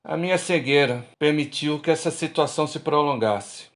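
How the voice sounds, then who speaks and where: A man's voice: fairly high pitch, moderate pace, natural voice. A man with a Brazilian accent playing a tipsy middle-aged friend, talking colloquially and frankly in a radio drama. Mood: regretful. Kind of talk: radio drama acting, casual bar chat.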